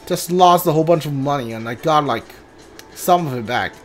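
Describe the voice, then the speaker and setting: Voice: medium pitch, a little fast. A video game streamer giving casual playthrough commentary, thinking aloud and muttering reactions while playing. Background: game music.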